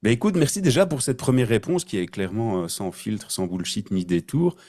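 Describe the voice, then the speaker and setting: A man hosting his podcast, reading a scripted intro and then chatting casually, lively and playful with repeated catchphrases. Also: on the low side, fairly quick, no echo, clean studio sound. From Belgium. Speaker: podcast host.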